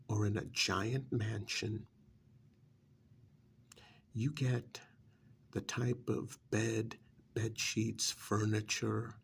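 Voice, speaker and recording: A man playing a smug, persuasive vampire's familiar in an ASMR role-play, coaxing like a sardonic salesman in a breathy, gravelly, often whispered voice. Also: a little hiss or hum. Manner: coaxing, sardonic sales pitch with drawn-out emphasis.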